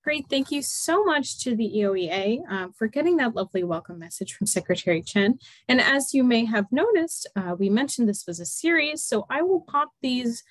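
A woman moderating an online workshop, upbeat and quick with fillers. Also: on the high side, lively intonation, no room sound. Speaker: webinar moderator. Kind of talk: webinar hosting.

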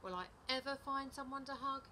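A woman's voice: sits high, a little fast, sharp tone, slight background noise, voice pushed up. A woman voicing a sad little storybook hedgehog who pleads politely and plaintively. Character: sad, prickly little hedgehog longing for a hug.

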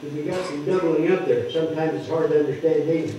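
An older man preaching at a lectern, explaining scripture word by word and stressing key words loudly. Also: on the high side, fast, distant mic, slight background noise. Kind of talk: sermon teaching scripture. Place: wood-paneled church hall, speaking at a lectern. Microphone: microphone on the lectern, heard from a distant camera. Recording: echoey hall heard from afar, dull.

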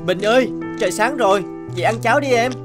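High-pitched, caring orphan boy. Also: fast talker, over loud music. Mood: tender, coaxing. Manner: tender, coaxing, earnest. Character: caring, hardworking orphan boy, devoted big brother.

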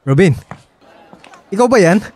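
Animated Filipino ex-boyfriend. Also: male voice, sits high, a little slow, breathy edge, exaggerated voice. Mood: surprised, friendly. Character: eager, familiar ex-boyfriend, young man. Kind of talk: Filipino radio drama acting.

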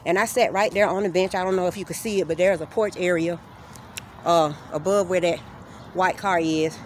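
A woman narrating a walking vlog on city streets, filming on her phone, telling her story in an earnest, steady way. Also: fairly low pitch, normal speed. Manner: earnest, steady, recounting grievances. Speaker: vlogger. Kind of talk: walking tour vlog, personal account. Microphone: phone camera held while walking. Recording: faint street noise outdoors.

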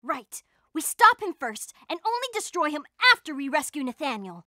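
A woman playing a kind, earnest and determined teenage girl hero in an animated series. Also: high voice, moderate pace, dry recording, clean studio sound, full character voice.

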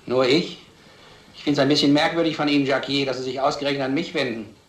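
An actor playing an earnest, stubborn police detective, speaking formally and with measured insistence in an old crime film. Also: male voice, mid-range voice, fairly even intonation, close to an everyday voice. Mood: sober, doubting. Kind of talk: vintage German crime film acting. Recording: roomy old film soundtrack.

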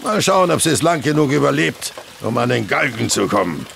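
A blunt country coachman in a radio play, with a heavily gravelled, forceful voice. He gossips brashly and colloquially, swinging lively up and down over faint outdoor noise. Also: mid-range voice, a little fast, exaggerated voice. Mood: gossipy, blunt. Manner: brash, colloquial, matter-of-fact. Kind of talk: German audio drama acting.

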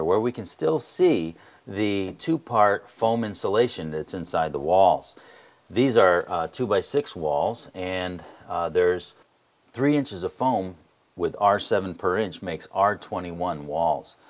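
A man's voice: sits low, lively intonation, measured pace. A middle-aged man leading an explanatory on-site tour as a home energy contractor. He talks in an earnest, plain-spoken way, with frequent "uh" fillers. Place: indoors in a wood-walled barn and its concrete basement.

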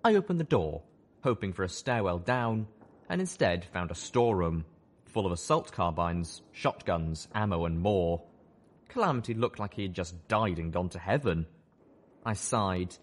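A man's voice: sits low, lively intonation, moderate pace. A man giving dramatic scripted narration of a story as an audiobook narrator.

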